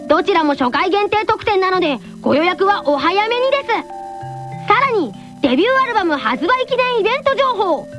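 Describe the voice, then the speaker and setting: A woman's voice: extremely high pitch, big pitch swings, a little fast, highs cut off, music in the background. A woman voicing an earnest, cheerful little schoolboy who presents scripted radio announcements in anime style. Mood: chirpy, earnest.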